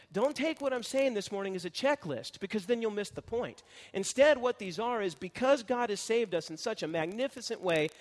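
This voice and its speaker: Male pastor, high for a man, casual teaching sermon, hall reverb. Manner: explanatory, conversational teaching with frequent "okay?" checks. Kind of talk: expository sermon.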